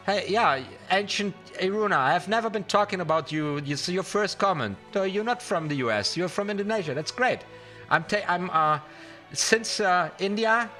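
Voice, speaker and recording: A man with a fairly high, bright and forceful voice hosts a casual livestream as a magician. He rambles along, speaking straight to his chat and repeating words to drive a point home. Music plays behind him.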